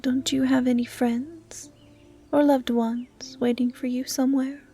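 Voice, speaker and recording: A woman voicing a gentle, curious female dryad who guards the woods, speaking softly and often in a whisper in a roleplay audio drama. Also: high voice, little pitch movement, soft background music. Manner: soft, often whispery, naive and measured. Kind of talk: roleplay audio drama, soft intimate acting.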